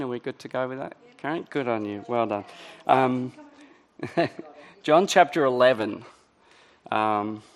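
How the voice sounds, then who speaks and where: A middle-aged man preaching a sermon in a conversational way, his voice a little croaky. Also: on the low side, a bit bright. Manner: conversational, many ums and restarts. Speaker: pastor.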